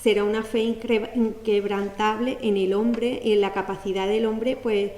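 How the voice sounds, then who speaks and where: A woman's voice: medium pitch, steady tone, moderate pace, a little mellow. A woman with an Andalusian Spanish accent presenting a poetry book in an explanatory, academic manner, with many fillers. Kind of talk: academic talk at a book launch. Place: lecture hall. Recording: echoey hall, faint noise.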